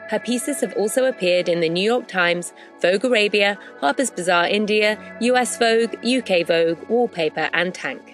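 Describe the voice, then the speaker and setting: A woman hosting a podcast who gives a measured, upbeat scripted introduction and then asks interview questions. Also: mid-range voice, faint music behind. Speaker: podcast host.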